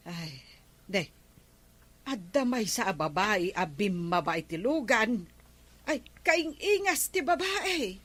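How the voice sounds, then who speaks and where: A woman's voice: medium pitch, big pitch swings, slow, fairly bright tone, husky, heavily acted. A woman with an Ilocano accent playing a nagging village woman in a comedy drama, exclaiming and scolding. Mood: concerned.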